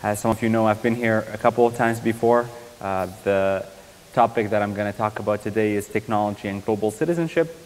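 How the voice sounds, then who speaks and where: A young man from Iraq gives a university guest lecture, speaking in a somewhat low voice. He talks in a measured, thoughtful way with frequent ums and self-corrections. His voice rings with the long reverb of a lecture hall. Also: a little mellow. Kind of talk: academic lecture.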